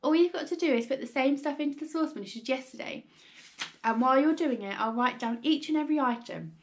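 A woman voicing an excitable, impatient father in a storybook, urgent and insistent, full of grand schemes. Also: fairly high pitch, brisk. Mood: urgent, bossy. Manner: breathless exclamations, urgent and insistent.

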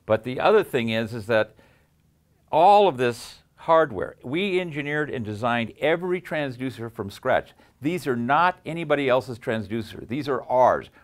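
A man's voice: medium pitch, expressive, normal speed. An older man giving a technical demonstration as an audio engineer, explaining emphatically and proudly. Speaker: audio engineer presenting his design. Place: small acoustically treated home theater room.

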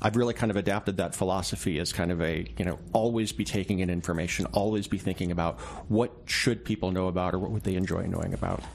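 A man's voice: low-pitched, fairly even intonation, fast talker, few pauses. A man speaking as a reporter being interviewed, reflective and conversational, explaining himself through small anecdotes and examples. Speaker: newspaper reporter, interview guest. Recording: clear background noise and ambience.

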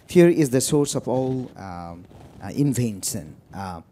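A man's voice: medium pitch, animated, a little slow, dark tone. A man with a Nepali accent and Nepali as his first language, moderating a book talk and introducing the speaker with many fillers and restarts. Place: lecture room.

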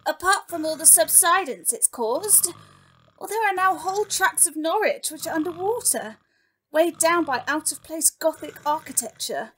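A woman's voice: very high-pitched, expressive, moderate pace, bright, forceful tone, slight background noise, exaggerated voice. A woman playing a chatty, sycophantic demon servant in an audio comedy drama, speaking with eager deference. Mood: anxious, cautioning. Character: Crabby, tipsy sycophantic demon minion of Satan.

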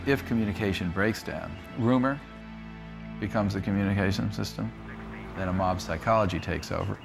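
A man's voice: fairly low pitch, normal speed, vocal fry. A man giving expert commentary in a documentary interview. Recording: loud music under the voice.

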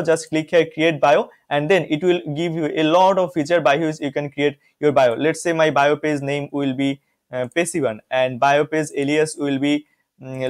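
A young man from Bangladesh with a slightly raised pitch, guiding viewers through a software tool on screen. He talks from a small room into a close desk microphone, calling out each click and often addressing viewers as "guys". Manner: walks through steps, frequent "guys" and "see". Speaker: software reviewer. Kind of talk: screen-recorded software tutorial.

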